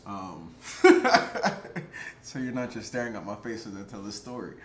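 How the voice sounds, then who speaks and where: Casual male vlogger, filler-heavy, animated storyteller, echoey room. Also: on the low side, measured pace. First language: English. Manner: chatty, lots of "like" and "um" fillers, laughs between thoughts; animated, gets louder at exciting moments. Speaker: vlogger telling a personal story. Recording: roomy echo, faint background noise.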